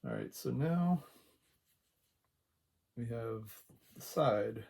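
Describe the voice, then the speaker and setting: Quiet man with a gravelly, creaky voice. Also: mid-range voice, animated, slight background noise. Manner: unhurried, talks along while working. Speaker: craft tutorial host. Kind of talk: casual hands-on sewing tutorial.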